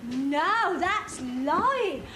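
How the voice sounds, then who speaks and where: A woman's voice: very high-pitched, sing-song, very slow, full character voice. A woman playing a dim, gullible, childlike henchman in a pantomime double act, naive and literal-minded. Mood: puzzled. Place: stage in a hall, recorded from afar. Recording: echoey hall, very noisy camcorder tape.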